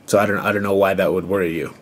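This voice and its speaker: A man's voice: deep voice, fairly even intonation, racing. An aggrieved, confrontational man arguing on a recorded telephone call. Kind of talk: tense phone argument.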